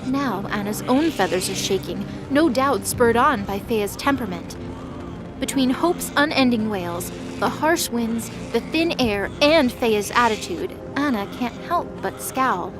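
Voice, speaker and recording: A woman with a fairly high voice narrates a dramatic story from a script. Music plays clearly behind her narration. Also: expressive, a little slow.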